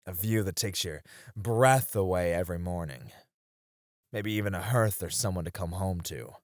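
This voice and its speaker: A man's voice: sits low, animated, moderate pace. A man playing a weary, tender warrior and teasing sparring partner in an intimate ASMR romance roleplay, speaking wistfully with chuckles and sighs.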